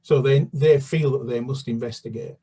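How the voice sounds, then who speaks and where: Hesitant older man, husky and distant. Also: mid-range voice, steady tone, normal speed, mellow. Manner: hesitant, many fillers and restarts. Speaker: children's author. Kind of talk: casual interview chat.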